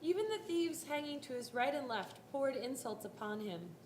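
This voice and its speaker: A woman narrating a scripted dramatic Bible reading, telling the story in a deliberate, expressive way. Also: high voice, slight background noise. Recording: roomy live hall, faint music.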